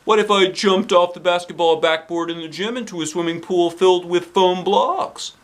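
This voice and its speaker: Man doing an eccentric, high-pitched school principal. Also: fairly bright tone. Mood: earnest pleading. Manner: exaggerated, drawn-out delivery. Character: eccentric, exuberant middle-aged male school principal. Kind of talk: children's storybook character reading.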